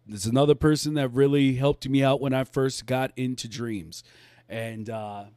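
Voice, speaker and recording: A man's voice: medium pitch, steady tone, moderate pace, darkish tone, clean tone, no room sound, clean studio sound. A man hosting a casual live-stream chat, laid-back, laughing often and repeating his words. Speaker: podcast host.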